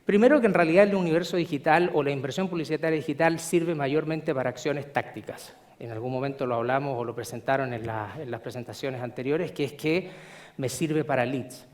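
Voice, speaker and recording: A middle-aged man from Chile with a Chilean accent, giving a measured, explanatory conference presentation with frequent filler sounds. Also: medium pitch. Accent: Chilean Spanish. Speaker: conference speaker. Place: conference hall.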